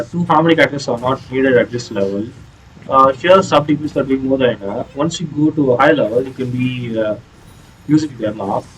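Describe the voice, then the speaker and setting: A man giving casual, explanatory commentary on a video game playthrough in a hesitant, halting way. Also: mid-range voice, slight background noise, poor audio. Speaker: gaming video creator.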